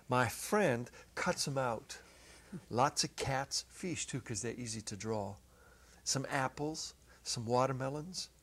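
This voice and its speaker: A middle-aged man giving an expressive dramatic reading of a literary short story, with deliberate pauses. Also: mid-range voice, husky. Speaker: narrator, reader. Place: indoor set, seated at a music stand. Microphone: clip-on lapel.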